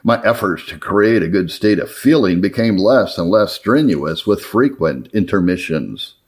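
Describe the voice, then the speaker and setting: A man reading an old formal letter aloud as a narrator, in an earnest, measured way. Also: sits low, expressive, breathy edge. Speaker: narrator reading historical religious writings.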